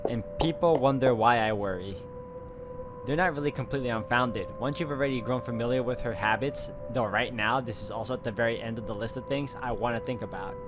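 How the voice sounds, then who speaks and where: A man's voice: mid-range voice, a little fast, music in the background. A man reading a visual novel aloud as he plays it. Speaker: video game player reading aloud.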